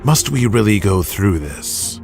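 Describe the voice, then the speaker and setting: A man voicing an arrogant, sneering company boss in an audio drama, mocking and deliberate with menace. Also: lowish voice, big pitch swings, vocal fry, a little mellow, faint music behind, heavily acted.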